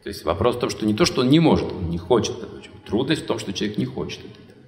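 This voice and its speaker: A man's voice: on the low side, animated, very fast, a little mellow, faint music behind. A man giving a spiritual sermon on scripture, using rhetorical questions and little acted dialogues. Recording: echoey hall with long reverb.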